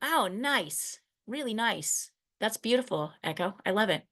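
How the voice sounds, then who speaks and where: A woman's voice: medium pitch, animated, measured pace, fairly bright tone. A woman teaching an online drawing class over a video call, chatty and encouraging. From United States. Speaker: art teacher.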